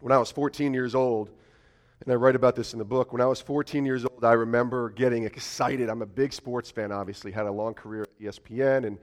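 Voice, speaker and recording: A man in his forties, originally from upstate New York, preaching a church sermon in a raspy, cold-roughened voice and joking with the congregation. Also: steady tone, fast. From Albany, New York. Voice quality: raspy, deeper than usual. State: hoarse from a cold. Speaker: guest preacher. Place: church sanctuary.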